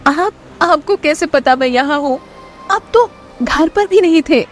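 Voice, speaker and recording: A woman's voice: high-pitched, lively intonation, measured pace, faint music behind, voice pushed up. A woman voicing an anguished, defiant young captive bride in a romance audio drama, emotional and pleading. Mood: panicked.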